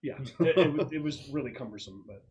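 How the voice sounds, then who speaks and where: A man runs a tabletop roleplaying game as game master, explaining situations with halting phrasing and pauses. His pitch is middling, and the recording carries some background noise and clicks. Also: expressive, darkish tone, highs cut off. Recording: clearly noisy room recording with clicks.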